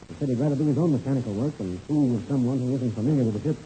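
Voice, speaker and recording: An actor playing a confident, shrewd mentor pilot in a 1930s radio adventure serial, brisk and colloquial, on a noisy old recording. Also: male voice, medium pitch, dark tone, close to an everyday voice. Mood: puzzled, wary.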